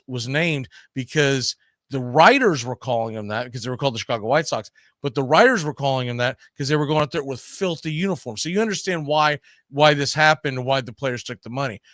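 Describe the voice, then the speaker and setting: A man's voice: medium pitch, fairly bright tone, no room sound. Male sports talk host, mid-range voice, emphatic storyteller, live-show commentary, dry recording.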